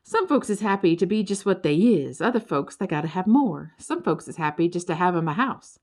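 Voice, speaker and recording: A woman's voice: fairly low pitch, lively intonation, a little fast, a little mellow. A woman voicing an easygoing folksy creature, half bird and half turtle, with a drawling rural Southern US accent in a children's storybook. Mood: drawling, contented.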